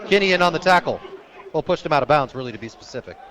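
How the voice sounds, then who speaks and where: A man working as a radio sportscaster, calling high school football live in clipped, descriptive phrases that turn excited on big plays. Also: racing, a faint crowd, low-quality recording. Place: outdoor stadium, live radio broadcast.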